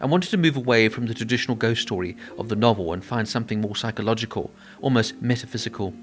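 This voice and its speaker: A man's voice: lowish voice, fast. Man reading a film review. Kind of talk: scripted narration.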